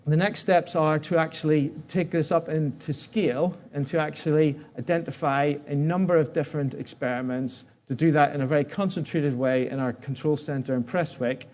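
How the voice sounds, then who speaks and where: A man giving a conference presentation as an executive, speaking haltingly and often restarting his words and phrases. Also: fairly high pitch, steady tone, darkish tone. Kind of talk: conference presentation with audience questions.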